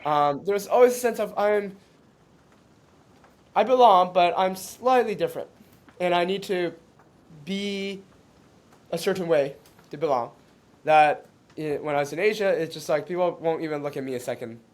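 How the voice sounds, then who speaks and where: Halting, reflective male interview guest. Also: high voice. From born in China, raised in Canada. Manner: halting, many restarts, laughs often.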